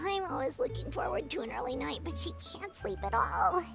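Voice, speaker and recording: A woman voicing a tiny, excitable, childlike fairy companion in a video game, nosy and nervous, speaking in exclamations. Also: extremely high pitch, fairly quick, vocal fry, over loud music, exaggerated voice. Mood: worried. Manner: exclamatory, speaks of self in third person. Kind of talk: animated video game voice acting.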